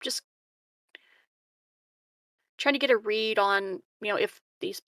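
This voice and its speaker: A woman discusses her moves as a player in a tabletop roleplaying game. Her voice sits at a middle pitch and her delivery is casual, halting and tentative. The recording has little room sound. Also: a bit bright, no echo, clean studio sound.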